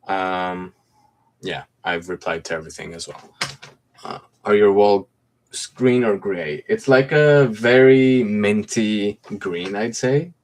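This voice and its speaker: Deep-voiced man with a hint of American. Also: slow pace, slight background noise. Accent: slight American. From Greece. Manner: laid-back, chatty, reads comments aloud and answers them. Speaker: livestreamer. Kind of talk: casual livestream chat answering viewer comments. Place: room at home with mint green walls.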